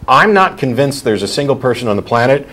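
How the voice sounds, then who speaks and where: A man's voice: mid-range voice, very animated, fast talker, fairly bright tone. A man hosting a call-in talk show, answering a caller bluntly and explaining his arguments at length. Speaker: host.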